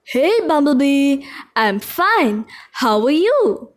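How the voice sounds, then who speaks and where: A woman's voice: sits high, very animated, slow, full character voice. A woman voicing a friendly, encouraging bear puppet with simple childlike delivery in a children's show. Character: friendly bear puppet, kindly teacher of manners.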